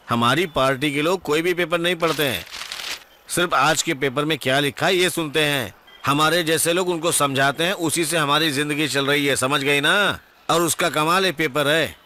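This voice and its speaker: Small-time party orator, high voice, sharp bright tone, glib, wordy, declamatory, film dub. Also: male voice, normal speed, slight background noise. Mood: coaxing. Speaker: small-party political speaker.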